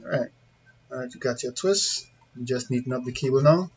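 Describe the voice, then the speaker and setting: A man's voice: medium pitch, a little fast. Trinidadian man, muffled lo-fi recording. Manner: conversational, plain-spoken, checks in with viewers. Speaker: CCTV installer giving a tutorial. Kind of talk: informal hands-on demonstration, talking through steps. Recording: dull lo-fi sound with background noise.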